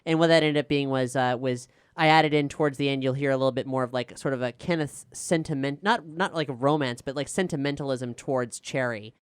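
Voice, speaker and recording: A showrunner speaks at length on a casual Q&A panel in a clear, smooth voice of middle pitch. The delivery is reflective and expansive, like someone settling into a long story. The sound is dry and close. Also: brisk, hardly any pauses, dry recording. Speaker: showrunner, writer and cast member of an audio drama.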